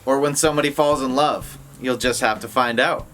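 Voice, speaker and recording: A man's voice: mid-range voice, fairly quick, fairly bright tone, slight background noise. A man in a rock band giving a casual, enthusiastic scripted promo. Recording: faint music behind. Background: music.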